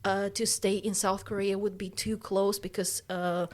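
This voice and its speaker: A woman's voice: mid-range voice, flat delivery, moderate pace. A woman from Uzbekistan whose native language is Russian, chatting casually as a podcast co-host and telling stories in a playful, teasing way. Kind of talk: casual chat, animated storytelling.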